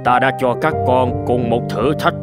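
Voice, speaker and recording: A man voicing a stern, wise old sword master who lectures his students in a measured, fatherly way in a cartoon. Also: medium pitch, steady tone, over loud music, close to an everyday voice. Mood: stern lecturing. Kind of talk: scripted Vietnamese cartoon dub, telling a parable.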